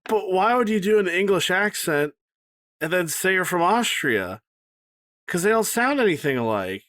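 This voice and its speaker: Bright-voiced man in a dry close recording. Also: high-pitched, very animated, normal speed, no room sound. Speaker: podcast co-host. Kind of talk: casual chat, reality TV recap.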